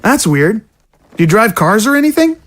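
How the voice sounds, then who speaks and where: A woman's voice: on the high side, very animated, moderate pace. A woman voicing a curious, matter-of-fact little zombie boy who talks bluntly and casually in an audio drama.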